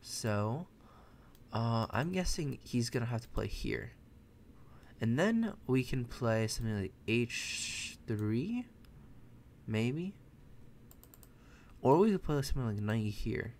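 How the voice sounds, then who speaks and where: A young American man, a native Mandarin speaker, casually commenting on his own chess game from his bedroom, chatty and quick to correct himself. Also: mid-range voice, very animated, a little mellow, slight background noise. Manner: thinks aloud, chatty, self-correcting. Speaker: chess streamer. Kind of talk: casual live commentary while playing. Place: bedroom at home, indoors.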